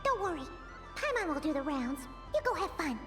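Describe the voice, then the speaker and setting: 一位女演员在游戏中为活泼的小精灵伙伴配音，声音尖细、稚气。. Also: female voice, sing-song, fairly quick, over music. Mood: chirpy, conspiratorial. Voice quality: squeaky, childlike. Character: tiny squeaky cheerful fairy-like companion, childlike. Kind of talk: video game story dialogue.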